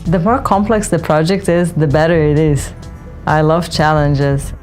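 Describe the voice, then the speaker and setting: A woman's voice: low voice, steady tone, a little slow, darkish tone. A middle-aged woman from Brazil who later lived in Germany, speaking as a consultant in interview soundbites for a promotional film. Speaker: senior consultant, project manager. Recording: music behind the voice. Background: background music.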